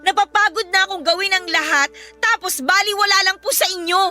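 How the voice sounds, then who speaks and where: A woman's voice: very high-pitched, a little fast, pushed and bright, soft background music. An actress playing a dutiful, hurt young Filipino daughter who pleads respectfully with her mother in a radio drama. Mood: hurt, frustrated. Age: young adult. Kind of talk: emotional radio drama acting.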